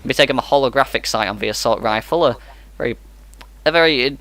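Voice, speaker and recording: A man giving energetic, rapid play-by-play commentary on an esports match. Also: medium pitch.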